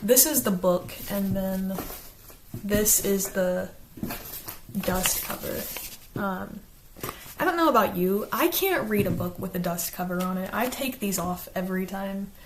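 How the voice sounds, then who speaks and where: A woman with a South Carolina Southern accent talks straight to her viewers in a casual, earnest way, mixing loose chat with lines read from a script. Her voice sits at an ordinary middle pitch. She holds back her natural quick pace to say each word clearly. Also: background noise. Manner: quick-talking, chatty and earnest, slows down to enunciate. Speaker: channel host, book reviewer. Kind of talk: casual talk to camera, partly read from a script.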